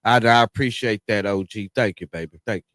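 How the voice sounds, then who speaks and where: A man's voice: lowish voice, a little fast, fairly bright tone, dry recording. Effusive American man, slangy and dry-sounding. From Tennessee and California's Bay Area, USA. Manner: effusive, repeats phrases. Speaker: podcast talk show host. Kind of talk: casual, slangy chat.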